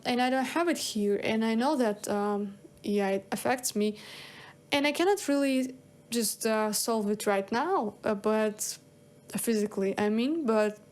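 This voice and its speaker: A woman's voice: fairly high pitch, a little mellow. A young woman from Kazakhstan, a singer-songwriter, talking casually on her own show, with lots of fillers and restarts. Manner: rambling, hesitant, many fillers and restarts. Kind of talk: casual solo monologue.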